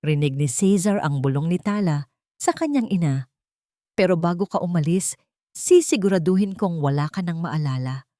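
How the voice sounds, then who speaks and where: Low-voiced woman narrating drama. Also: darkish tone, no room sound, clean studio sound.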